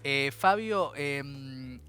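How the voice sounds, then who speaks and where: A man with a Rioplatense Spanish accent hosts an interview, asking long, engaged questions. His voice is bright and forward, at an ordinary male pitch. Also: lively intonation, drawn-out words, clean tone. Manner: engaged, with frequent affirming interjections. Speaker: interview host.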